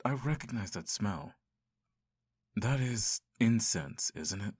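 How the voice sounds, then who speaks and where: A low-voiced male incubus, acted out by a man, speaks close and dry with no room sound. He is a lust demon with a sardonic, seductive temper and a theatrical, long-suffering manner, made for an ASMR fantasy roleplay. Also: expressive, takes it slowly, a little mellow, husky, no room sound, exaggerated voice. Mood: incredulous, exasperated. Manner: theatrical, sighing, dry sarcasm.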